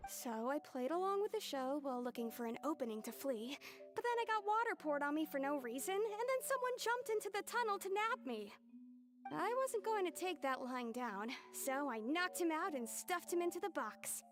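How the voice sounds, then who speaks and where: A young female thief from an anime game, her voice high and earnest. She explains herself defensively and pleadingly, with faint music underneath. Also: lively intonation, normal speed. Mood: recounting, rueful. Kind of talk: dramatic anime game acting.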